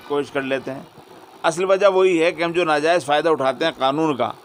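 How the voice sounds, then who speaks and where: A male cleric answering in an interview, speaking reflectively in short phrases with pauses. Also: fairly high pitch. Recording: faint vehicle and ambient noise.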